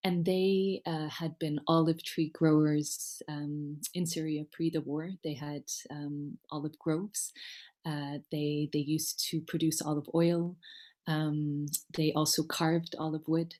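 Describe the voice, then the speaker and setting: A woman's voice: low voice, little pitch movement, takes it slowly, darkish tone. A woman giving a personal storytelling talk as a guest speaker, reflective and hesitant as she picks her words with care. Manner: reflective, hesitant, carefully worded. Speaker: guest speaker, humanitarian worker.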